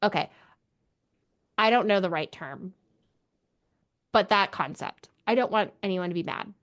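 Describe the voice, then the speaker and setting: Woman podcast co-host just chatting about books, medium pitch, informal and kinda opinionated. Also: measured pace, a bit bright. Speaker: book club podcast co-host. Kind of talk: casual book discussion chat.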